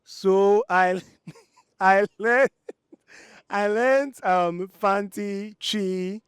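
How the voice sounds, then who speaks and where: A man's voice: sits high, very slow, clean tone, no room sound, clean studio sound. A man from Ghana, a student, answering interview questions thoughtfully and with enthusiasm.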